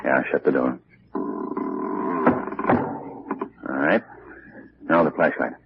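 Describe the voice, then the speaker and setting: Resolute sleuth, muffled old radio. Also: male voice, very deep voice, fairly even intonation, takes it slowly, creaky, background noise, heavily acted. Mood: hushed, grave. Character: calm, resolute male amateur sleuth investigating mysteries. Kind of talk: 1940s radio drama acting.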